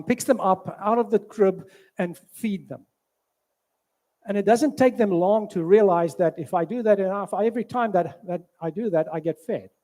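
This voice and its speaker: South African man, high voice, mellow tone. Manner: halting, many filler sounds. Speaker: physician giving a health talk. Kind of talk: church health presentation. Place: church hall.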